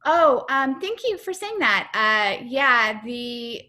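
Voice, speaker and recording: A woman's voice: on the high side, very animated, slow, sharp tone. A woman comedian and actor answering interview questions over a video call, chatty and upbeat, with lots of fillers and restarts.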